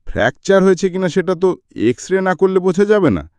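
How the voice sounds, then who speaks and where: A man reading a talkative male doctor in a story, gently grumbling and explaining things in a philosophizing way. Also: high-pitched, big pitch swings, brisk, clean tone, no echo, pitched above the performer's own voice. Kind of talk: audiobook character dialogue.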